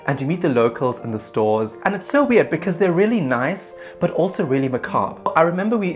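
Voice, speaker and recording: A man narrating his own travel story in a casual, self-mocking voice-over. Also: mid-range voice.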